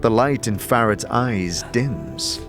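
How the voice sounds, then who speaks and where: A man's voice: on the low side, lively intonation, slow. A man narrating a fantasy audio drama in a dramatic, scripted, second-person storytelling style. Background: music.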